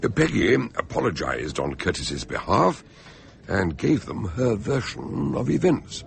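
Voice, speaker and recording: An actor playing a cautious gentleman who narrates a radio mystery in a measured, slightly hesitant manner. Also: fairly low pitch, big pitch swings, vocal fry, a little hiss or hum, full character voice. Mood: measured narration. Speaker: narrator and character in a radio drama. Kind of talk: radio drama acting and narration.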